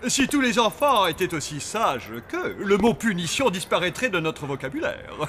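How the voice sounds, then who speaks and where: A man's voice: sits high, very animated, normal speed. A man playing a flustered zombie father who runs a hotel in a cartoon, over-polite and nervously jovial. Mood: nervously flattering. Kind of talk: cartoon dubbing.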